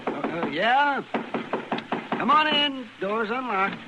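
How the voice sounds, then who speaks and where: A man's voice: very high voice, big pitch swings, slow, exaggerated voice. A male actor playing a folksy, fussy older frontier doctor who mutters and exclaims in an old-time radio drama. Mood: welcoming. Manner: fussy, muttering, hesitant interjections. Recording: noisy old radio recording.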